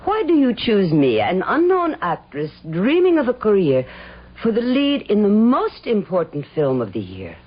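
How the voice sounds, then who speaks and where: A woman's voice: medium pitch, huge pitch swings, normal speed, slightly rough. A woman playing an insolent, teasing young actress, ambitious yet wary, in a radio drama. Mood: cool, wary. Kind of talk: radio drama acting, with diary narration. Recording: muffled old radio drama, faint music behind.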